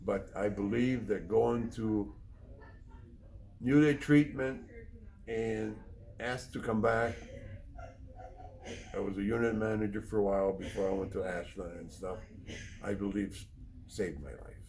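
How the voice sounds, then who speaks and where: A middle-aged or older man from Poland, sharing personal testimony in a plain-spoken, halting, rambling way as a recovery speaker. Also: on the low side, fairly even intonation, a little mellow, low-quality recording. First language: Polish. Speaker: recovery speaker, treatment counselor.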